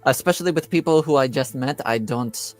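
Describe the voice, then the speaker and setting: A man's voice: medium pitch, brisk, a little mellow, soft background music, close to an everyday voice. A man voicing a shy, apologetic young noble girl in a tabletop game, speaking hesitantly with stammers. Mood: flustered, shy.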